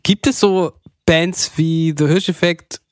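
A man's voice: on the high side, fairly even intonation, slow, dry recording, studio quality. A man hosting a podcast interview, chatty and asking his guest questions. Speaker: podcast host. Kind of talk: casual interview.

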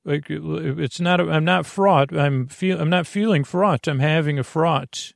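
Creaky-voiced man rambling softly through bedtime talk. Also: a little high, a little slow, no echo, studio quality. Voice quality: creaky, gravelly. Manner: meandering, stammering, loses his thread. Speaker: host of a bedtime sleep podcast.

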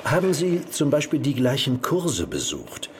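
Tactful police commissioner, varied intonation. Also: male voice, mid-range voice, huge pitch swings, unhurried, crowd noise. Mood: careful, serious. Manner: careful, tactful. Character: male German police commissioner, courteous, careful investigator. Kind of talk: German audio drama acting.

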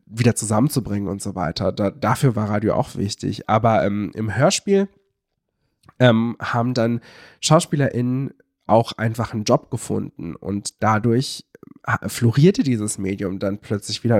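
A young man explains things casually on a podcast, haltingly searching for words and correcting himself. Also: lowish voice, a little mellow, dry recording, clean studio sound. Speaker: podcast co-host.

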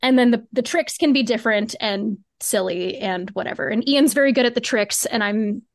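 A woman with a fairly high-pitched voice talks as an interview guest. She explains her process casually and thoroughly, in chatty phrasing. The sound is very dry and close, with no room on it. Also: normal speed, no room sound. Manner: explanatory, informal, peppered with "like" and "cool". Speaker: podcast guest, audio drama co-creator. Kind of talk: casual interview conversation about craft.

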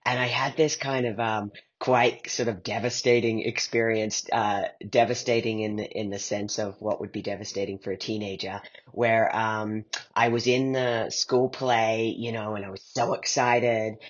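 A man's voice: on the low side, fairly even intonation, moderate pace, hardly any pauses, breathy edge, muffled recording. A middle-aged man answering as an interview guest, reflecting in a hesitant, meandering way as he thinks aloud. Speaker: guest, playwright and performer.

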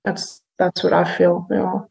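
A woman's voice: fairly low pitch, little pitch movement, measured pace, creaky, darkish tone, poor audio. A woman musician being interviewed, talking in a hesitant way with many fillers and restarts. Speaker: musician guest. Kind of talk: casual interview.

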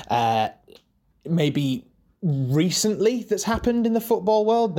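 Male podcast director, mid-range voice, informal and rambling, lightly self-deprecating behind-the-scenes chat. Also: medium pitch. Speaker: podcast director, editor and voice actor.

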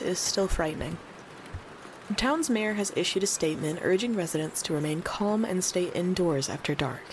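Actress playing a spooky late-night radio hostess, low-pitched. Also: female voice, background noise, lightly acted. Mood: grave, composed. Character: female late-night small-town radio host, concerned and eerie. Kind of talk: audio drama radio host narration.

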